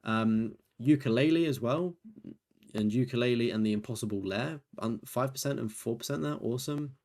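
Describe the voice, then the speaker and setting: A man's voice: medium pitch, normal speed, a little mellow. A young man, a gaming YouTuber, chatting casually through a trophy list while tired and losing his voice, rambling and self-deprecating. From United Kingdom. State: tired, voice nearly gone.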